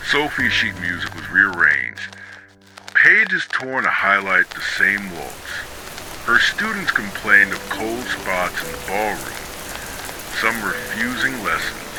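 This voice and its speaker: Slow, deep-voiced man narrating ghost stories. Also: sing-song, over music, rough recording. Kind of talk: scripted horror story narration for sleep.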